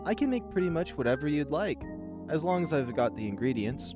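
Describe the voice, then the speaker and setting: Man doing a friendly, raised-pitch young bartender. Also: big pitch swings, brisk, music in the background. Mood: friendly, obliging. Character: friendly, playful, obliging young man tending the bar.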